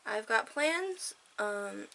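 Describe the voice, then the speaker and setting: A woman vlogger talking casually to her viewers, her voice hoarse and a little worn, rambling with fillers and laughs. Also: fairly high pitch, animated, drawn-out words, sharp tone, poor audio. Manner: rambling, chatty, laughs often. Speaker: vlogger and interviewer. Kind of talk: casual vlog chat.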